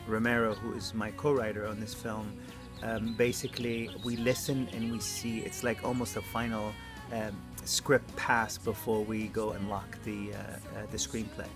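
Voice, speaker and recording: An earnest man speaking as an indie film director, thinking aloud with a halting delivery. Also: on the low side. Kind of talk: interview answers and addressing a group. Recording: background guitar music. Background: music.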